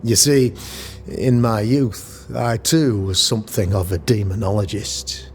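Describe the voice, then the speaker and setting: An actor playing a solemn, self-regarding older town governor in a video game, speaking in formal, measured, archaic phrasing. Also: male voice, fairly low pitch, fairly even intonation, a little mellow, slight background noise, close to an everyday voice. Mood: solemn, courteous. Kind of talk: dramatic video game acting. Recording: music under the voice.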